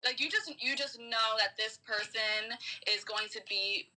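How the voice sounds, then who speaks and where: Girl doing a tarot reading on a podcast, super bright sharp voice, kinda halting and giggly, chatty. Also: a little high, rough recording. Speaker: tarot reader, podcast guest. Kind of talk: casual spiritual card reading.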